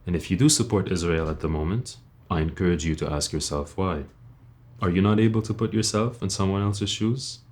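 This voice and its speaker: A man's voice: low-pitched, fairly quick, darkish tone. A young man from Lebanon speaking as a video creator in an earnest personal monologue, blunt and informal, often putting rhetorical questions.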